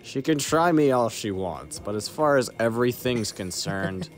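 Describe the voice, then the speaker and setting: A man's voice: mid-range voice, expressive, normal speed, natural voice. A man playing a wry, secretive mercenary in an audio roleplay, with a dry, laid-back, teasing way of talking. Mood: guarded. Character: wry, guarded male mercenary, secretive old friend. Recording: music playing behind the voice.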